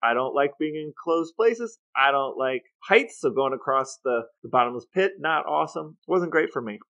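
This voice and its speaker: A man's voice: a little high, lively intonation, normal speed, fairly bright tone, highs cut off. A middle-aged man co-hosting a podcast, chatting casually and asking questions. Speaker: podcast co-host. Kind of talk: casual chat and interview questions.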